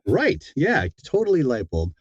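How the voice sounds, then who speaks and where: A middle-aged man speaking as an interview guest, telling his story in a casual, reflective, somewhat halting way. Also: medium pitch, huge pitch swings, no room sound. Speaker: interview guest, audiobook narrator. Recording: slightly dull, dry audio.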